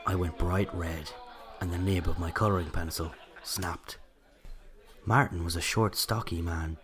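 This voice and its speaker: A man's voice: sits low, fairly even intonation, unhurried, fairly bright tone, faint music behind. An Irish man playing a narrator who recalls a night from his childhood, in scripted first-person storytelling. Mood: reflective.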